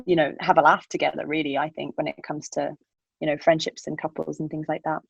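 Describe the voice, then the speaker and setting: A woman in her twenties, guest on an interview podcast, speaking in an animated, chatty way with a British accent mixing Northern Irish, Scottish and Manchester traces, often laughing as she talks. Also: sits low, fast, creaky, poor audio. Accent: British mix with Northern Irish, Scottish and Manchester traces. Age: 20s. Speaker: actor guest. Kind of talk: casual interview chat.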